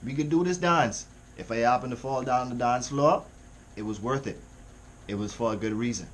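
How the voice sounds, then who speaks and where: A man's voice: medium pitch, moderate pace, fairly bright tone. A man from the Caribbean with a Caribbean accent, hosting a music reaction in a slangy, rambling, laid-back way. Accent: Caribbean English. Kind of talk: casual reaction commentary.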